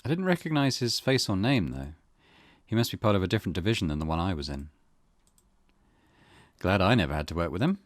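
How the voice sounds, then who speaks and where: A man's voice: sits low, sing-song, brisk, long pauses, dry recording, clean studio sound. A man hosting a gaming channel, giving casual let's play commentary and reading game text aloud.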